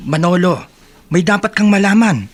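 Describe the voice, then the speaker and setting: Actor as an agitated high-voiced messenger man. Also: moderate pace, slight background noise, close to an everyday voice. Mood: distressed, urgent. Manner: hesitant, urgent. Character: agitated man bearing grave news. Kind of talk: radio soap drama acting.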